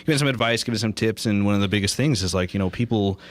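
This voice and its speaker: A man's voice: fairly low pitch, racing. A young man, a local morning news anchor and producer, talking casually in a radio interview, rambling a little, with restarts and quoted asides. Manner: relaxed, rambling storyteller with many restarts and acted quotes.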